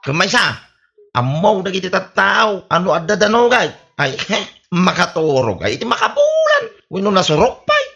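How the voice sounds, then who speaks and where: A man playing a boastful, bullying young man in an Ilocano radio comedy drama, with an Ilocano accent and a loud, swaggering way of talking. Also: sits high, wildly swooping pitch, normal speed, vocal fry, exaggerated voice. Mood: smug. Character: boastful bullying young man, big-bodied town braggart.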